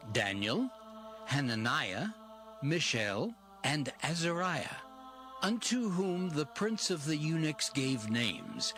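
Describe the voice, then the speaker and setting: A man narrating scripture dramatically, in a solemn, measured storytelling manner. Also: medium pitch, a bit bright.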